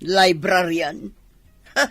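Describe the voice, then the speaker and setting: A middle-aged mother in a radio drama, haughty and ambitious. Her voice is forceful and sharp, and she stretches her words out slowly. The sound is dry and close. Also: lowish voice, steady tone, no echo, exaggerated voice. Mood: indignant, scornful.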